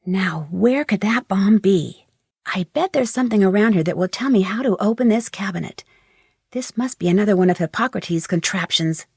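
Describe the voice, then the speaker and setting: A woman playing a plucky, curious young detective in a video game, thinking aloud with clear diction. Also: mid-range voice, lively intonation, moderate pace, a little mellow, no echo, studio quality. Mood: pondering. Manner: inquisitive, clearly enunciated musing.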